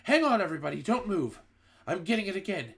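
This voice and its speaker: A man reading a storybook aloud, voicing a female witch who urgently insists she smells something. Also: moderate pace. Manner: urgent, excited, high-pitched. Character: excited sniffing female witch.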